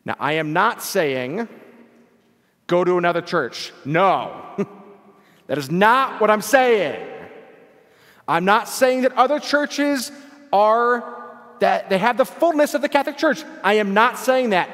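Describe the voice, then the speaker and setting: A man preaching a homily as a priest, a native English speaker, earnest and unhurried with frequent self-deprecating chuckles, recorded in a church during an evening Mass. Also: high voice, expressive, fairly bright tone. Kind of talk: bilingual homily. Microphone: church sound system, livestreamed. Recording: long church-hall reverb.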